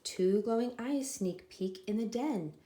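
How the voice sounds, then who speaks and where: A woman reading a children's picture book aloud expressively, with deliberate, dramatic emphasis on the sound words. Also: fairly high pitch, mellow. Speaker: storybook reader.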